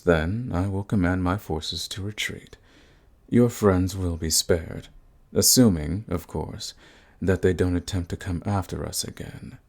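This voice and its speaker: An actor playing a suave, sadistic vampire lord in an ASMR roleplay, with a theatrical, silky, condescending manner and a husky, gravelly voice. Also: male voice, low voice, a little mellow. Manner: theatrical, silky, condescending endearments, drawn-out phrasing. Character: suave sadistic male vampire lord, predatory, mocking, possessive.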